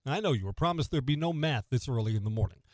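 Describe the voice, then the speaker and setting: A man's voice: mid-range voice, animated, racing, darkish tone, dry recording, clean studio sound. An American man hosting morning talk radio, delivering a conversational opinion monologue and putting rhetorical questions straight to the listener. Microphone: close studio radio microphone.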